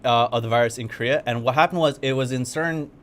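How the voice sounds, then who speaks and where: A young man co-hosting a live game event stream, upbeat, talkative and easily excited. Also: medium pitch, quick pace. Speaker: game community manager. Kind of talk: casual livestream co-hosting. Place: studio in Korea.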